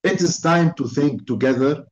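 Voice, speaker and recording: A man giving a formal conference talk, speaking in slow, emphatic phrases. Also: on the high side, echoey room. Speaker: invited speaker.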